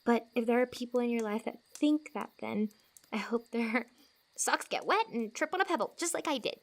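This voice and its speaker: Girl acting as a sweet wanderer lady in an asmr fantasy roleplay, higher soft voice, giggly n teasing, kinda rambles while comforting you. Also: normal speed, lightly acted. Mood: playful, teasing. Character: friendly, teasing, reassuring female wanderer in fantasy woods.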